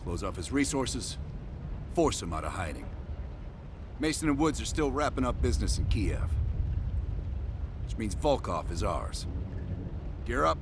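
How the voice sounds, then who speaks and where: An actor playing a gruff, hard-bitten American covert operations team leader in a military game, speaking in curt, profane orders. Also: male voice, fairly low pitch, sing-song, a little slow, pauses often, noisy background. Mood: grim, resolute. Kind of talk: cinematic military game acting.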